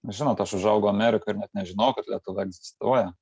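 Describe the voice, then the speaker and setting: A man interpreting a lecture phrase by phrase over a video call, rendering each line quickly and plainly. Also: on the low side, steady tone, darkish tone, husky, no echo, dull audio. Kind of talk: consecutive translation of a lecture.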